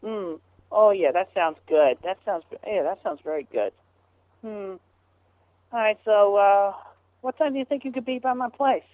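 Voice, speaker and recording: A man on a phone call, talking haltingly with many fillers and restarts. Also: high voice, no echo. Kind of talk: rambling phone conversation. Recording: tinny phone line.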